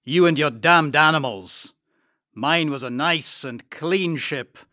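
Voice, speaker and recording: A man putting on a snarling, bright-voiced brute of a ship captain. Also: fairly high pitch, slow, no echo, studio quality. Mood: angry. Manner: snarling, contemptuous. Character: brutal angry male ship captain.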